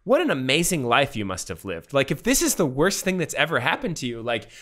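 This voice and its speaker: A man in his thirties, a podcast guest, telling a personal story in casual, animated talk full of fillers and acted-out quotes, on a studio mic at home. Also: mid-range voice, fairly quick. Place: home room with bookshelves. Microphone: studio mic with pop filter, headphones.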